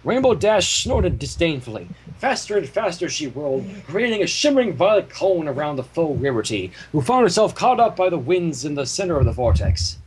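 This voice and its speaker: Animated, higher-voiced man narrating dramatically. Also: brisk, hardly any pauses, husky, low-quality recording. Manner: dramatic, expressive storytelling. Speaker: fan fiction reader and narrator. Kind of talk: animated scripted story narration.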